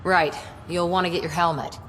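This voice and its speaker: A woman's voice: fairly low pitch, steady tone, normal speed, big-room sound, background noise, natural voice. A woman acting as a capable, wry ship's officer in a cinematic sci-fi game. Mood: reassuring.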